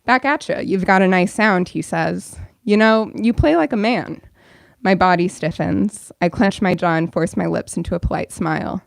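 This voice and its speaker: A woman's voice: on the low side, moderate pace, creaky, no room sound, clean studio sound. A young woman, a bookstore events director, welcoming an audience and then reading her own fiction aloud at a store event.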